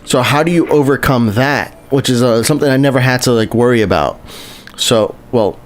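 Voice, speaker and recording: A man's voice: medium pitch, brisk. A man live-streaming a game, chatting casually with viewers and often restarting his sentences. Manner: rambling and chatty, lots of restarts, greets chat viewers. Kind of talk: casual live-stream commentary while playing.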